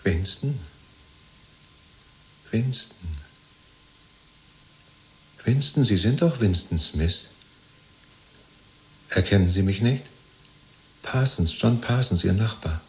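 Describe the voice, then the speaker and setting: An actor in a 1970s German radio play, playing a chatty, servile jailed party loyalist who rambles and justifies himself. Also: male voice, lowish voice, animated, slow, pauses often, darkish tone, slight background noise, rough recording. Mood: nervous, probing. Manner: rambling, ingratiating, chummy, seeking reassurance.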